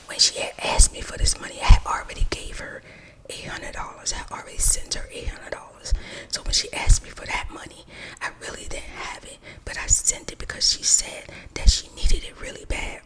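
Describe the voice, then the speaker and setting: A woman beauty vlogger whispering a slow, dramatic storytime while she does her makeup.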